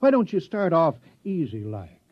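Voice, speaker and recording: An actor playing an officious, impatient small-town mayor in a 1950s science fiction radio comedy, hurried and explanatory. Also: male voice, a little high, huge pitch swings, background noise. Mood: officious, insistent. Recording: dull, lo-fi vintage radio recording.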